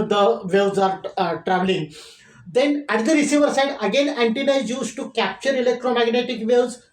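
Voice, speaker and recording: Higher-voiced woman teacher in echoey room. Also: darkish tone. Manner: methodical, repeats and rephrases points. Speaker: engineering teacher, tutorial presenter. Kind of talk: explanatory lecture, step by step. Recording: roomy, echoey voice recording.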